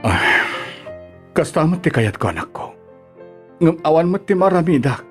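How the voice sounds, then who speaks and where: A man with an Ilocano Filipino accent playing a gentle, sorrowful single father in an audio drama. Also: on the high side, big pitch swings, moderate pace, faint music behind. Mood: sad, tender. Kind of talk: amateur radio-style drama acting.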